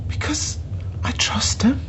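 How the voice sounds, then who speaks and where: An actress playing a frightened captive, a loyal daughter, in a naturalistic audio drama, hushed, wary and pleading. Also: female voice, slow, in a whisper, natural voice. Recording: dull muffled audio, faint noise.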